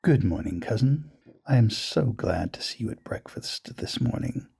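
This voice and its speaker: A man playing a courteous aristocratic cousin in an ASMR roleplay drama, with a husky, gravelly voice and a polite, formal, solicitous manner. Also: mid-range voice, lively intonation, normal speed, mellow, full character voice. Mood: polite concern.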